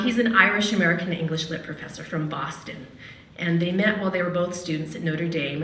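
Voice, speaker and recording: A woman's voice: fairly low pitch, bright, forceful tone, a little hiss or hum. A middle-aged Canadian woman, a radio host, telling a personal story at a podium in a large hall in a wry, conversational way. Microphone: podium mic from a distance. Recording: echoey hall, mic at a distance.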